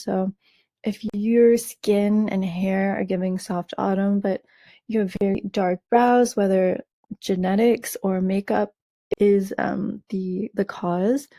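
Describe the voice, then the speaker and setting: Woman host, raspy, mellow tone, dry close sound, calm explainer. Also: medium pitch, no echo. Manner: measured, friendly explaining with fillers and restarts. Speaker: color analysis host. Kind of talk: explaining over a slideshow.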